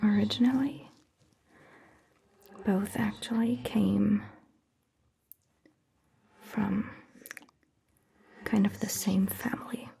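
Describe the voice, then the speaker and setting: Whispering, unhurried vampire doctor played by a woman. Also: medium pitch, fairly even intonation, mellow, heavily acted. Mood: calm, explaining. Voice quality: whispery, breathy. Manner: slow, soothing, patient explaining. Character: gentle, reassuring female vampire doctor caring for patient. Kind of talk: soft-spoken whispered ASMR roleplay.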